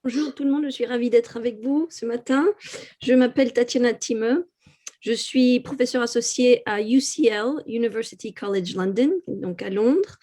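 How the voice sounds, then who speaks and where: Woman academic, warm and dry-sounding. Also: on the high side, moderate pace, no echo. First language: French through her mother. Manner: careful, with restarts. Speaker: academic, anthropologist and geographer. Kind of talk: webinar self-introduction. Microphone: video call.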